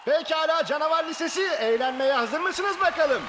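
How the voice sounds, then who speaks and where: A man's voice: very high-pitched, normal speed, noisy background. A man playing an upbeat contest announcer in a cartoon dub.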